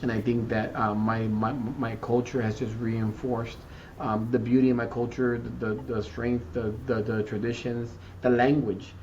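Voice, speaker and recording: A man from South Texas answering interview questions thoughtfully, often repeating words and pausing with "um" as he searches for the right term. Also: mid-range voice, little pitch movement, a little mellow. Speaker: school district equity chief. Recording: muffled, distant, faint background noise.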